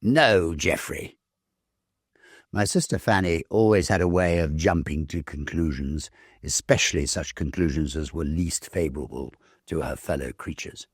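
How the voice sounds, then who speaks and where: A British man reading a story aloud in an expressive, theatrical, measured literary narration style. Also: very deep voice, slightly rough, no echo, clean studio sound. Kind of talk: expressive dramatic audiobook narration.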